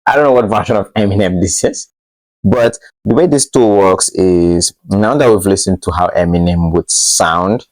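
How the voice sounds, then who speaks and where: Very deep-voiced man, halting tutorial delivery. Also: a little mellow, low-quality recording. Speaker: tech tutorial presenter. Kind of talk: casual software tutorial narration.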